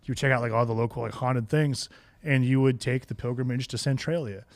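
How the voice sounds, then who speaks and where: A man's voice: mid-range voice, fairly even intonation, fairly quick, no room sound. A man with an American accent co-hosting a casual podcast, telling stories in a dry, joking way. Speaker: podcast co-host. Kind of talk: casual chat, storytelling.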